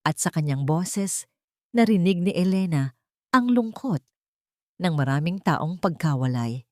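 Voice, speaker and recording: A woman narrates a scripted drama in storytelling style. Her voice is a little lower than most women's. The sound is very dry, with no room around it. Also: darkish tone, no room sound.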